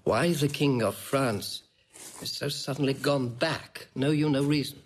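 A man's voice: medium pitch, unhurried, very noisy, close to an everyday voice. An actor playing a grave, concerned and loyal nobleman in a classical audio drama. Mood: concerned, inquiring. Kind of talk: classical stage-style audio drama acting.

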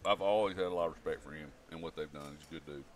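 A man's voice: very deep voice, rapid-fire, a little hiss or hum. A man, a podcast guest trading casual, joking banter. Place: large sporting goods store, indoors.

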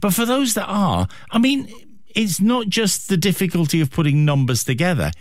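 Animated radio host in his sixties. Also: sits high, normal speed, dry recording, studio quality. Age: 60s. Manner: self-deprecating, chatty. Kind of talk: conversational radio interview.

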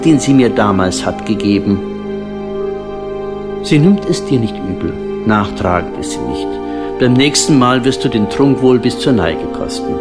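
A man reciting a solemn poetic audio drama in a hushed, breathy manner, with drawn-out phrases. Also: mid-range voice. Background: new-age instrumental music.

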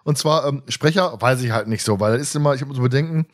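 A man's voice: mid-range voice, fast. A man hosting a casual fan podcast, talking in a rambling way and often repeating words and phrases. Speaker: podcast host.

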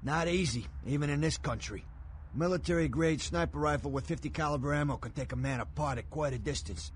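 A man's voice: on the high side, brisk, natural voice. Man playing a world-weary gun dealer, American accent, terse, street-wise, game audio, faint noise. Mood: matter-of-fact, guarded. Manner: terse, matter-of-fact.

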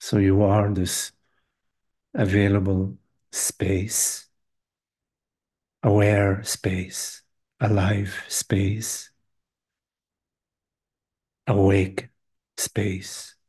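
Man leading a guided meditation, really slow n gentle, deepish mellow voice, lots of long pauses. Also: level tone, husky. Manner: gentle, hesitant, long pauses between short phrases. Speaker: meditation teacher. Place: live online group session.

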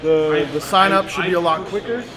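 A man gives a matter-of-fact walkthrough of a big indoor poker room, his voice sitting high for a man. Loud player chatter fills the space behind him, picked up by a handheld camera a few feet away. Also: normal speed, rough recording. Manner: matter-of-fact, informative, with fillers. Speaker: poker room manager giving a tour. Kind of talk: explaining on a walking tour. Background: crowd chatter.

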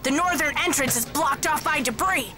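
A woman plays a determined boy agent with a very high voice. He barks terse, urgent commands in a thriller radio drama. Music plays behind him. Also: moderate pace, close to an everyday voice. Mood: tense, resolute. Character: determined young boy trainer turned counter-terrorist field agent.

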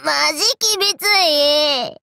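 Very high, hyper anime gal. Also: female voice, slow pace, bright, forceful tone, no echo, clean studio sound, heavily acted. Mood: worn out, whiny. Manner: exuberant, slangy, exclamatory. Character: hyper, playful young summer gyaru heroine. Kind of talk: anime mobile game battle voice lines.

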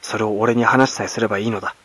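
A man playing a stern, menacing young manservant in a storybook reading, speaking forcefully and with scorn. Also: on the low side, fairly even intonation, rapid-fire, husky. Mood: firm, commanding.

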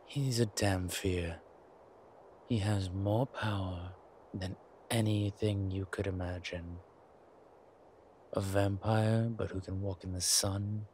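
A man playing a centuries-old vampire in a roleplay audio drama, suave, wry and protective, speaking in a measured, theatrical way with deliberate pauses. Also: on the low side. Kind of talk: scripted roleplay audio drama addressed to the listener. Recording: clear background ambience noise.

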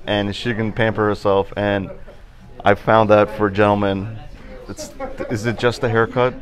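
A man's voice: fairly low pitch, measured pace. A man in his thirties who owns a barbershop, talking casually with lots of fillers, recorded in his shop. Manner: relaxed, chatty, with many fillers. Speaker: barbershop owner. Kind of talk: casual interview answers. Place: barbershop interior.

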